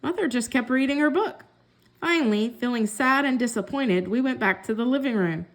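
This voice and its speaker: Female storyteller for a kids' read-aloud, higher-pitched, expressive delivery. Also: moderate pace. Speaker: story reader.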